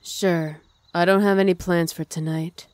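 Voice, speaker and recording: A calm, low-voiced female vampire friend in an audio roleplay, as a woman puts her on. She speaks flatly and steadily, with little rise and fall, close and dry. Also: a little slow, no echo, close to an everyday voice. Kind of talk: ASMR roleplay.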